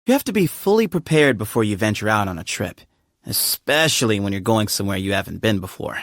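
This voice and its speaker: A man's voice: fairly low pitch, big pitch swings, no echo. An actor voicing an easygoing, playful young man who leads a desert temple, recorded on a studio mic. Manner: playful and chummy, quick to laugh. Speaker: game character voice actor. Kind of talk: video game character voice lines. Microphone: studio microphone. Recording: dry studio booth.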